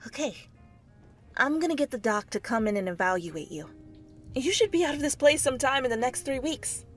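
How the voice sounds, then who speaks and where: A woman voicing a calm, frank clinician in a story reading, measured and matter-of-fact with a patient. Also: high voice, soft background music, pitched above the performer's own voice.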